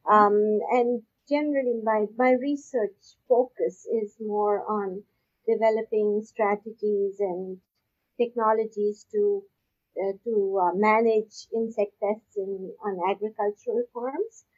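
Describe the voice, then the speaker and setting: A woman from Pakistan with a husky voice, answering interview questions conversationally in a halting way, repeating words as she goes. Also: mid-range voice, steady tone. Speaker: guest, agricultural research scientist. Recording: dull, lo-fi audio.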